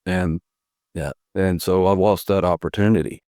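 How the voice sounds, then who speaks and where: A man in his fifties from the United States, a podcast guest, telling his life story in an unhurried way, with fillers and soft chuckles. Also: sits low, steady tone, dry recording, clean studio sound. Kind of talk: storytelling in an interview.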